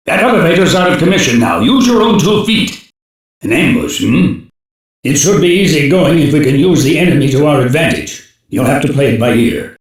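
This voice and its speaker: A stern, commanding older man running an intelligence unit in an anime game. His delivery is animated and fairly high for a man, with clipped, urgent authority, heard in a heavily reverberant space at some distance. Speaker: chief of a special police unit. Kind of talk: dubbed anime game dialogue, mission briefing. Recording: very echoey, distant from the mic.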